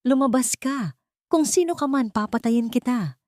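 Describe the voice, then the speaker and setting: A woman's voice: sits high, animated, normal speed, darkish tone, dry recording, studio quality, voice pushed up. A woman voicing a guilt-ridden, paranoid army colonel in a dramatic audio story, with agitated outbursts. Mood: panicked, threatening.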